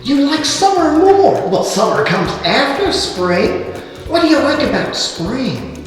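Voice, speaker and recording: An excitable puppet news host for a kids' show. The delivery swings wildly up and down in pitch, taking its time, theatrical and playful, with music behind. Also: female voice, unhurried, rough recording. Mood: enthusiastic, playful. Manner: theatrical, exaggerated, expressive. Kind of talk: children's educational presenting.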